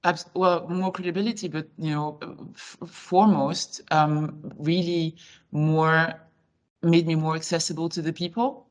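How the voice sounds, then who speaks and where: A woman's voice: deep voice, little pitch movement, measured pace. A woman who grew up between Switzerland and Senegal, speaking as a company chief executive in an interview. She answers frankly and reflectively, phrasing her thoughts loosely as she goes.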